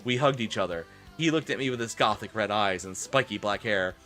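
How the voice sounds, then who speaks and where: Bright-voiced man giving a theatrical reading. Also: lowish voice, brisk. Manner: theatrical, often breaks off into amused asides. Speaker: streamer doing a dramatic reading. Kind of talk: comic dramatic reading of fan fiction for a live stream. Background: music.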